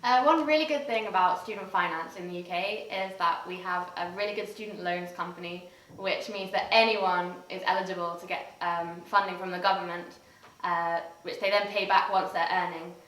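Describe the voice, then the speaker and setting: Young English woman, lowish voice. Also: normal speed, fairly bright tone. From England. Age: young adult. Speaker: exchange student. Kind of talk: casual interview answers. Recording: echoey room, mic at a distance.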